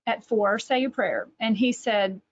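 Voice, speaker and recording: A woman's voice: on the high side, measured pace. A middle-aged woman with a Texas accent, telling a personal story in a presentation, with many fillers and restarts. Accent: Texan. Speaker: author and catechesis presenter. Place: home room, indoors. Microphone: webcam on video call. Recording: dull lo-fi webcam audio.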